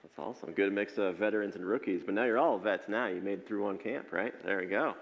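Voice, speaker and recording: A man hosting a casual panel with coaches, relaxed and upbeat, laughing easily. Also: on the low side, quick pace, muffled recording. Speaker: host leading a coaches' panel. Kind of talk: casual group interview. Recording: echoey hall with long reverb.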